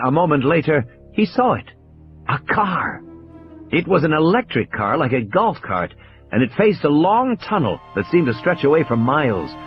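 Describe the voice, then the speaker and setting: A male audiobook narrator with a mid-pitched voice and a lively, wide range of intonation. He reads scripted dramatic narration over prominent music and background effects. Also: lo-fi sound. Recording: music and sound effects behind the voice. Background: music and background sound effects.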